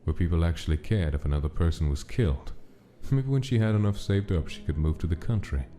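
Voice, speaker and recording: A man's voice: low voice, animated, fast talker, dark tone. A man reading scripted story narration as the narrator of a drama. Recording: music clearly under the narration.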